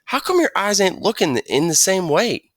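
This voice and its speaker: A man's voice: high voice, very animated, moderate pace, dry recording, clean studio sound, voice pushed up. A man voicing a friendly, talkative country boy from Arkansas with a drawl and folksy phrasing. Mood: eager, chatty. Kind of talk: audiobook character voice.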